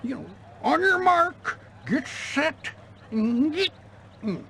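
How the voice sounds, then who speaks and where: An actor playing a bumbling, excitable, fussy sheriff with an American Southern accent and a stammering, repetitive way of talking. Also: male voice, very high-pitched, takes it slowly, a little hiss or hum, exaggerated voice. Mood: fussy, petulant. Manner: stammering, repeats himself.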